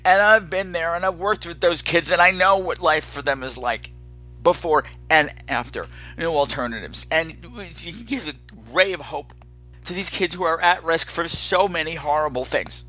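A man's voice: on the high side, moderate pace, bright, forceful tone. A man hosting a podcast in a candid, unscripted monologue, dramatic and emphatic, sounding weary and exasperated. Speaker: podcast host.

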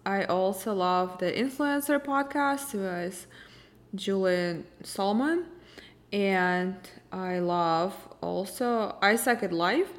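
A woman from Ukraine, whose first language is Russian, speaking in a Russian accent as she hosts a casual solo question-and-answer podcast in a chatty, upbeat way. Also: medium pitch. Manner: chatty, upbeat, simple sentences. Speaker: podcast host.

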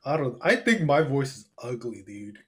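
A man's voice: mid-range voice, sing-song, moderate pace. A young man chatting casually on a podcast, slangy and swearing often, calling people dude. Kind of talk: casual chat.

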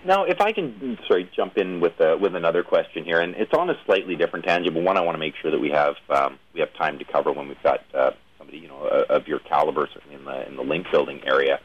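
A man's voice: extremely low pitch, quick pace, hardly any pauses, breathy edge. A man hosting a conversational interview, wordy and hedging as he winds up to long questions, on dull lo-fi audio. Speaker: interviewer, show host.

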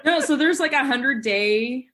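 Chatty woman telling animated stories. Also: high-pitched, a little slow, a crowd in the background. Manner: chatty, laughs often, acts out quotes. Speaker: podcast guest, teacher. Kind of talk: casual podcast chat.

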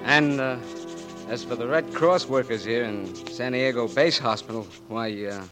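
Male actor as a wisecracking blinded young Marine; 1940s radio play, mid-pitched, slangy and swaggering. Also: normal speed, over music, lightly acted. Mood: breezy. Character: young wounded Marine, cocky tough-talking, blinded. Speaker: patient.

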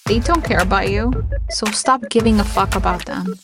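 A woman's voice: mid-range voice, normal speed. Irreverent woman host over music. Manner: punchy, irreverent, swears freely. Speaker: podcast host. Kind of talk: podcast intro and disclaimer.

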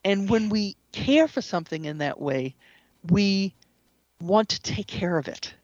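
A woman speaking as an interview guest, explaining spiritual ideas in an earnest, measured way. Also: medium pitch, lively intonation, a little mellow, dry recording, highs cut off. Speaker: interview guest, shamanic practitioner and author.

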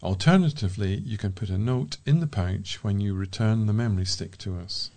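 A British man presents scripted closing notices for a volunteer news programme. His voice is fairly low, with a notably dark, mellow tone. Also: brisk. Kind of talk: scripted sign-off announcement.

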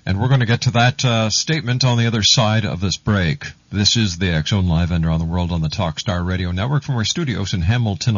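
A man's voice: low-pitched, slightly rough, no room sound, muffled recording. A man hosting a radio show in a presenter's style.

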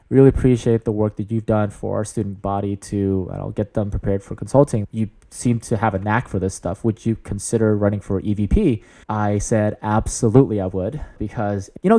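Booming upbeat man, low and mellow. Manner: earnest, upbeat, enthusiastic with frequent fillers. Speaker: student leader. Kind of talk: interview answers.

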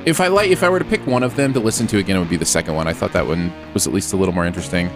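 A man co-hosting a casual music review chat, animated and joking, laughing often. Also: low voice, racing. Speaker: podcast co-host. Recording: music playing in background.

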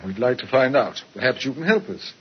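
An actor playing a shrewd, courteous police inspector with a polite, hesitant delivery in a 1950s radio drama on a noisy old recording. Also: male voice, mid-range voice, animated, a little fast, breathy edge, muffled recording. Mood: calm, probing. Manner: polite, hesitant with ums and uhs.

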